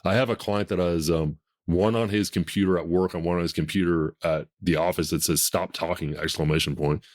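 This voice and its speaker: Deep-voiced man in his fifties, blunt. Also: steady tone, brisk. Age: 50s. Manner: blunt, emphatic, self-assured. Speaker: podcast host. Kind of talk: casual podcast conversation.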